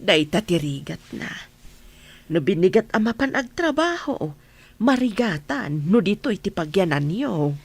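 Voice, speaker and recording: A woman's voice: mid-range voice, sing-song, unhurried, gravelly, slightly rough, exaggerated voice. A woman playing a caring, anxious mother in an Ilocano radio drama. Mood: concerned, affectionate. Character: caring mother anxious for her married daughter.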